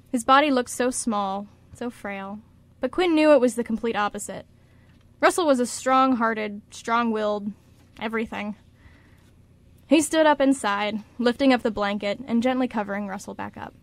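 A woman reads scripted story narration for a radio play. Her voice sits a little high, and the sound is dry with no room on it. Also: no echo.

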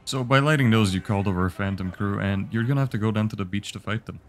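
A man's voice: fairly low pitch, fast. A young man giving a casual, easygoing walkthrough of a video game level, explaining each step. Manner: relaxed instructive, easygoing. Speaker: game guide host.

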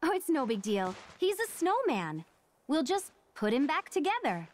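A young woman playing an earnest, regretful princess searching for her sister in an animated game. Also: high-pitched, moderate pace. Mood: upbeat. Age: young adult. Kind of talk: animated game cutscene acting. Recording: music behind the voice.

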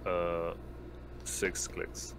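A man with a very low voice runs a tabletop roleplaying game. His intonation stays flat and he feels his way through answers haltingly. A clear noisy hum sits behind his online call. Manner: halting, hesitant, improvising. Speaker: game master. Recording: noisy line with constant hum.

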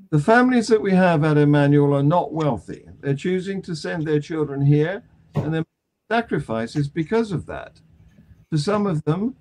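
An older British man hosting a conversational news discussion over a video call, phrasing his points in a measured, deliberate way. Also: on the high side, darkish tone, low-quality recording. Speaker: panel discussion host. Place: small attic room at home.

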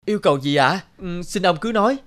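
An actor playing a polite but wary young scholarly traveller in a cartoon fairy tale, expressive and put-upon. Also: male voice, sits high, fast talker. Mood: polite, eager.

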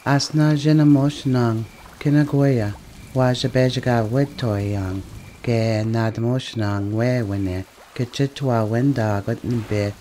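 A man recites a solemn prayer in a middle-pitched voice. His tone is dark and mellow, and he takes his time over each phrase. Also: fairly even intonation, slow. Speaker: prayer reader. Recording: faint water noise behind.

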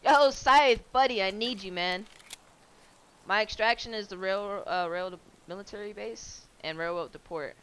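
A woman in her twenties with a low voice, streaming a game from home on a headset, chatting loosely with viewers, swearing and laughing. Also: moderate pace, fairly bright tone, a little hiss or hum. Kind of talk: casual chat while gaming.

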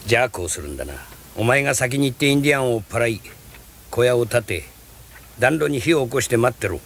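A man dubbing a film character, with gruff and blunt speech. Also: medium pitch, expressive, fast, fairly bright tone, a little hiss or hum. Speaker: voice actor dubbing a film role.

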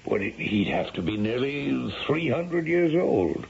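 A skeptical Victorian gentleman father, dry and exasperated. He speaks slowly with extremely animated pitch. It sounds like a muffled vintage radio play. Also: creaky, husky, exaggerated voice. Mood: dismissive. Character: gruff, skeptical well-off Victorian father traveling in Ireland. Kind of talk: 1970s radio drama acting.

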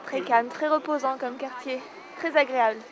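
A young woman, a schoolteacher, answering a street interview outdoors, quick and affirming. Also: high-pitched, fairly even intonation, lo-fi sound, a crowd in the background. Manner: quick, affirming, repeats yes.

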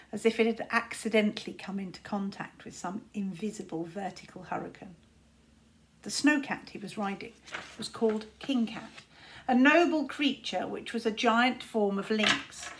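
A woman's voice: mid-range voice, fairly bright tone, poor audio. Woman reading a children's storybook expressively. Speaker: teacher reading aloud. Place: small room at home, indoors.

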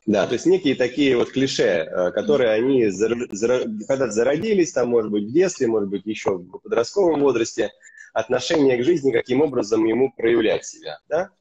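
A man's voice: mid-range voice, animated, brisk, mellow, husky. A man in his thirties, a gynecologist guest on a video call, speaking earnestly with some hesitations. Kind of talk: casual video call chat. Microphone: video call webcam. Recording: dull lo-fi webcam audio.

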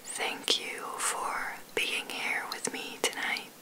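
Whispering woman guiding slow sleep meditation. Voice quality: breathy whisper. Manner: soothing, gentle, unhurried with long pauses. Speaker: meditation guide, ASMR host. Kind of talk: ASMR guided sleep meditation.

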